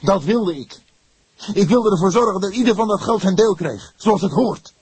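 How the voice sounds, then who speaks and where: A man voicing an indignant young male suspect in an audiobook, loud and heated. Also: high voice, expressive, moderate pace, slightly rough, dry recording, pitched above the performer's own voice, heavily acted. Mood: furious.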